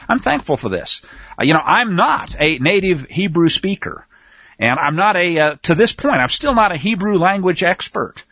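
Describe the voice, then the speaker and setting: A man's voice: sits high, fairly bright tone, slightly rough, no echo, lo-fi sound. A man teaching from the Bible in a folksy, emphatic way, with sarcastic asides and frequent restarts. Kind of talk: folksy scripture commentary.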